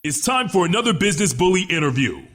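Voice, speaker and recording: A man's voice: sits high, very animated, fast talker, fairly bright tone. A man reading a scripted podcast announcement in a punchy, promotional way. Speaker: show announcer.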